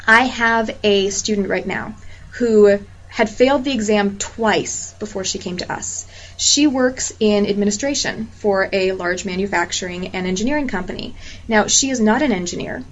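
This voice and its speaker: A woman who tutors people for quality certification exams, explaining her points earnestly and emphatically with repeated examples. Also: medium pitch. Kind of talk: explanatory monologue. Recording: slightly muffled lo-fi audio with faint hiss.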